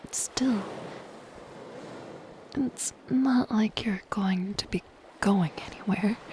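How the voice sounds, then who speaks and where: Woman as a slow, whispering siren over surf. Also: mid-range voice. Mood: playfully threatening. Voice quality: breathy, soft, mostly whispered. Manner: slow, gentle, coyly possessive. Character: soft-spoken lonely siren, menacing yet shy and curious. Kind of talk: ASMR roleplay. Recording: ocean surf noise behind the voice. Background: ocean waves.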